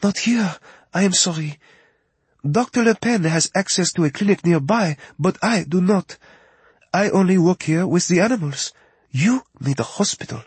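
A man voicing an anxious young French woman, a veterinary assistant, speaking urgent, halting, formal English with a French accent. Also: sits high, animated, husky, no room sound, voice pushed up, full character voice.

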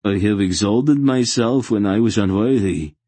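A man performs a fervent male zealot from a fantasy audiobook, wracked with guilt. He intones and groans his pleas slowly, in a voice with a husky edge. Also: on the low side, dark tone, dry recording, voice pushed up. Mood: guilt-ridden, intoning. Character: fervent male religious fanatic, guilt-ridden and pleading.

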